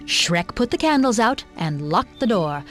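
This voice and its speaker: A woman narrates a storybook tale with slow, deliberate drama. Her pitch moves in lively arcs, and soft music plays behind her.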